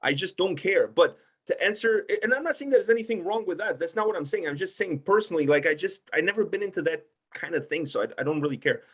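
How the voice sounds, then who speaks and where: A man's voice: high voice, lively intonation, quick pace, few pauses, a bit bright, husky. A man talking casually in a roundtable discussion, blunt and emphatic, swearing freely. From Portugal. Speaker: music interviewer with own video channel.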